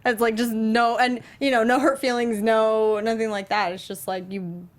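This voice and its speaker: A woman's voice: on the high side, fairly even intonation, normal speed. A woman co-hosting a discussion show, chatting casually in an agreeable, giggly manner. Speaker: talk show co-host.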